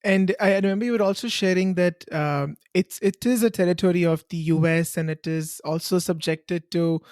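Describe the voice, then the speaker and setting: Lady hosting a podcast, kinda low voice, super dry close sound, lots of mm-hmms. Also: a little mellow, dry recording. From Punjab. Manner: encouraging, quick to laugh and give short backchannels. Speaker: podcast host. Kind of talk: casual interview conversation.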